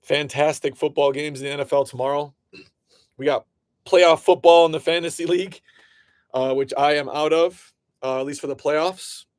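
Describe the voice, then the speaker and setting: Male livestream break host, slightly high voice, dry close sound, chatty with lots of fillers, voice a bit off. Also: fairly even intonation, normal speed, no echo. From Illinois. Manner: chatty, greets viewers by name, frequent fillers. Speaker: live card break host. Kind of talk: casual livestream chat.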